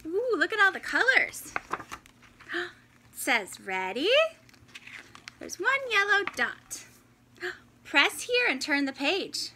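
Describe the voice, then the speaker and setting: A woman in her thirties doing an animated, exclaiming, encouraging read-aloud of a children's picture book. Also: very high-pitched, slow, long pauses, slight background noise. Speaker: teacher reading story time for children. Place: indoors, in front of a fabric backdrop.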